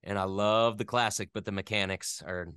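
A man in his thirties chatting casually as an interview guest, thinking aloud in a halting, self-deprecating way. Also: fairly low pitch, steady tone, fairly bright tone, clear, resonant tone, no room sound. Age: 30s.

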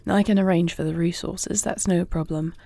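A woman playing a poised young warrior princess in a fantasy audio roleplay, speaking with formal, measured, regal diction. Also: fairly low pitch, fairly even intonation, creaky, dark tone, dry recording. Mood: resolute. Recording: faint night cricket ambience.

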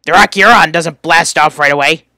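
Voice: mid-range voice, moderate pace, sharp tone, no room sound, natural voice. A performer voicing an irritable, sarcastic young male troll from a webcomic, scornful and exasperated. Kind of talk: reading fan voice lines from a webcomic.

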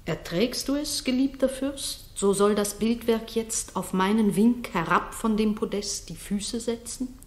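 A woman's voice: mid-range voice, measured pace, hardly any pauses, a little mellow, natural voice. An actress playing a commanding, mysterious noblewoman in a 1960s German radio drama, with solemn declamatory delivery. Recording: roomy 1960s radio recording, music behind.

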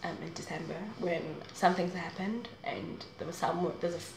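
A woman's voice: on the low side, steady tone, moderate pace, creaky, a little hiss or hum. Chatty young woman, roomy echo. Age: 20s. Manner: chatty, rambling, laughs often. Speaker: vlogger. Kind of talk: casual advice vlog to camera. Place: small room indoors.

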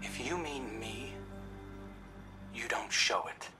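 A man's voice: medium pitch, takes it slowly, sharp tone, soft background music. An actor playing a guarded, grieving young man in a video game, curt and defensive. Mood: bitter, curt.

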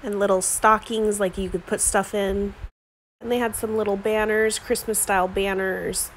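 A woman's voice: mid-range voice, flat delivery, moderate pace, a little hiss or hum, low-quality recording. A woman chatting casually while filming herself browsing the aisles of a store, with lots of filler words. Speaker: craft vlogger. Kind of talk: casual shop-with-me narration. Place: inside a store, walking the aisles. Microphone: handheld phone camera.

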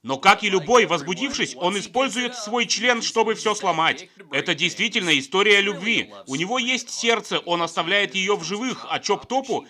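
Bright, high-voiced man doing sardonic film commentary. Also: lively intonation, normal speed, few pauses. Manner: sardonic, joking. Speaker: film review narrator dubbing a comedy review. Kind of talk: scripted comedic film commentary.

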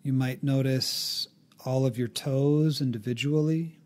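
A man narrating a guided meditation in a calm, gently instructive way, leaving long pauses between phrases. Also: medium pitch.

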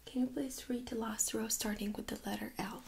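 A young woman speaks in a soft, breathy, whispery voice, gently and politely giving step-by-step instructions in an ASMR medical role-play. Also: fairly high pitch, steady tone, a little fast, slight background noise. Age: young adult. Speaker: ASMR performer playing a medical examiner. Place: small indoor studio room.

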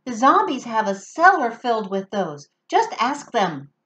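A woman's voice: fairly high pitch, sing-song, a little slow, a bit bright. A woman voicing an eager little werewolf child in a storybook reading. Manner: eager, tattling.

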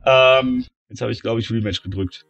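A man with a cold, commentating a video game wrestling tournament, shouting at the big moments and calmer between them. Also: normal speed, gravelly, lo-fi sound, a little hiss or hum. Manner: shouts and gets high-pitched at big moments, calmer when tallying scores. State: has a cold, slightly hoarse. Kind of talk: excited play-by-play commentary.

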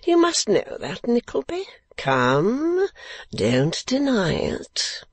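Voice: medium pitch, huge pitch swings, slow, no echo, pitched above the performer's own voice, exaggerated voice. A narrator voicing a foolish, eager young English lord in a storybook, with an affected, wheedling drawl. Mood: languid, admiring. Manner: drawling, affected, hushed and pleading.

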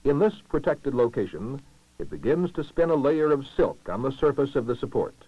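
A man's voice: medium pitch, very animated, dry recording. Man narrating a vintage lo-fi educational film. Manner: formal, measured, clearly enunciated delivery. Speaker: documentary narrator. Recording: muffled lo-fi 1960s film soundtrack.

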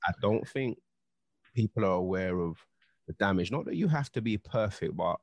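A man's voice: fairly low pitch, animated, normal speed, a little mellow, dry recording. Earnest man, dry close mic. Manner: earnest, heated, leans on filler "you know". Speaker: podcast co-host. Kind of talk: casual chat. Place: home studio, indoors. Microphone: studio mic, headphones.

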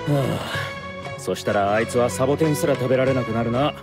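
An actor plays an earnest male underling in an anime game. His voice sits at a middle pitch, with clear music behind it. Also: quick pace, close to an everyday voice. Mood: dutiful.

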